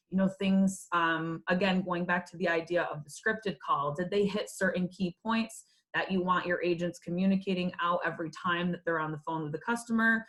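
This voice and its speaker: A woman's voice: fairly low pitch, a bit bright. A woman presenting in a webinar, explaining features in a business-like way.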